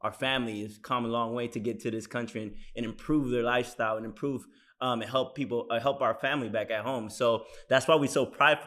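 A man talking quickly and easily in a casual panel chat. His delivery is relaxed and conversational, and he keeps checking in with "you know what I mean" as he makes his points. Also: medium pitch.

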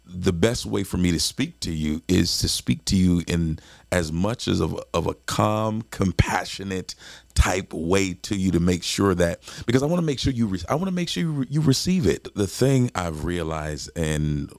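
Forties male co-host, deep gravelly voice, reflective, stumbles over words, dry recording. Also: animated, moderate pace, no room sound. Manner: reflective, stammers and repeats words. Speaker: podcast co-host, husband. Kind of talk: casual conversation.

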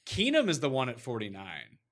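A man hosting a casual sports talk show, chatting in an animated, slangy and joking way. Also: medium pitch, a little fast. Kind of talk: casual fantasy football talk show banter.

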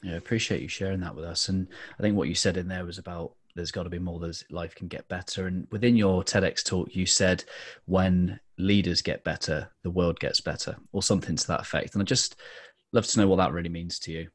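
A man with a very deep voice hosts an interview, affirming his guest and asking questions with frequent restarts. The recording is dry and close. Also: steady tone, slightly rough, dry recording. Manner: warm affirming, halting with restarts. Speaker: interview host. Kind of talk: conversational interview.

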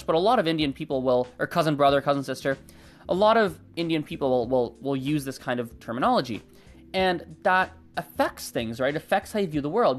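A young adult host whose first language is Gujarati, thinking aloud in a casual monologue and often hedging and correcting themself. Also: mid-range voice. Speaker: channel host.

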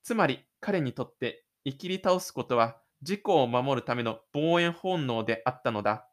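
A man's voice: mid-range voice, expressive, normal speed. A man reading scripted third-person narration for an audio drama.

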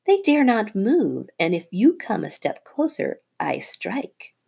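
Woman doing a slow hissing cobra queen. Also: medium pitch, big pitch swings, vocal fry, a little mellow, breathy edge, pitched above the performer's own voice, full character voice. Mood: menacing. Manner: slow hissing half-whisper. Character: menacing female cobra, cold and cruel.

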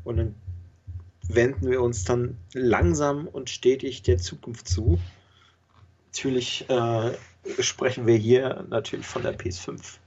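Gravelly man, hesitant, lo-fi sound. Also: mid-range voice, steady tone, unhurried, darkish tone. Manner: hesitant, many filler sounds. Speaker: podcast host. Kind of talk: casual chat. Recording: dull, lo-fi sound.

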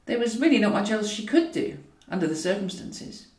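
Female audiobook reader for comic fiction: dry irony, animated pitch movement, recorded roomy and slightly distant. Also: a little fast. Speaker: author reading own novel. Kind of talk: scripted narration of a comic novel. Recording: roomy echo, distant from mic.

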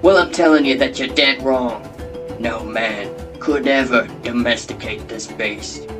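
A man acts out a brash wildlife wrangler on a comedy show. He boasts and rambles in matey slang at a middling pitch, with music clearly behind him. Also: unhurried, lightly acted. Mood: boastful. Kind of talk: comedy talk-show guest bit.

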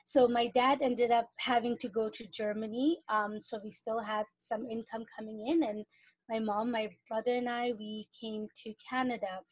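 A woman's voice: on the high side, steady tone, moderate pace, no echo, poor audio. Woman guest speaking in a dry room. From Iran. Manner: thoughtful, with frequent fillers. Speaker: podcast guest. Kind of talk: casual interview talk.

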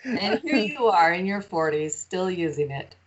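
A woman's voice: fairly low pitch, expressive, moderate pace, husky. Playful woman in her fifties. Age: 50s. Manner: playful, teasing. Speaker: podcast co-host. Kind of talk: casual chat. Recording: slightly muffled podcast recording.

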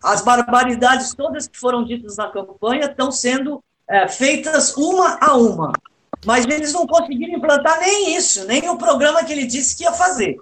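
A woman's voice: high voice, animated, normal speed, a bit bright. A woman with a Brazilian accent speaking as a panelist in a political discussion, with an ironic way of talking.

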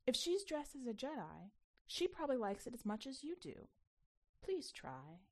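A woman's voice: sits high, sing-song, normal speed, darkish tone, pitched above the performer's own voice, full character voice. A woman voicing a well-meaning, coaxing mother who instructs and cajoles her child in a story reading. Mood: gentle pleading.

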